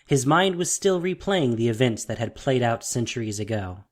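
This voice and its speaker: A man's voice: medium pitch, animated, moderate pace. A man reading scripted fantasy fiction as the narrator of an audio drama, in a dramatic storytelling style. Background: faint music.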